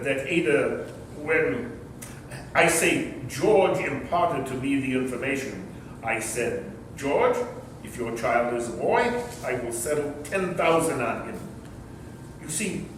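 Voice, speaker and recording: A man playing a pompous, self-righteous, miserly old uncle in a staged play reading, with emphatic oratorical delivery. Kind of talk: staged readers theater performance. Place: echoey room, distant mic. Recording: echoey hall from far off, rumbling noise.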